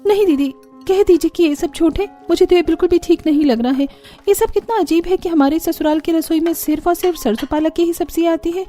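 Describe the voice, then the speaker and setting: A woman's voice: very high-pitched, brisk, mellow, faint music behind, pitched above the performer's own voice. A woman voicing an anxious, bewildered young daughter-in-law in a cartoon story, with a plaintive, exclaiming manner. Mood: bewildered, complaining. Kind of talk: Hindi cartoon story character acting.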